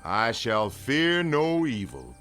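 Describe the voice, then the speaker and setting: A man's voice: mid-range voice, huge pitch swings, slow pace, fairly bright tone, soft background music, exaggerated voice. A man acting an earnest, pious frontier reverend in a Western audio drama, intoning solemnly.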